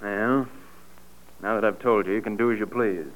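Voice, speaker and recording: A man's voice: lowish voice, steady tone, normal speed, natural voice. A man playing a blunt, bossy diner owner. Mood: warning. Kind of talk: 1940s radio acting. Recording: tinny old radio broadcast with faint hiss.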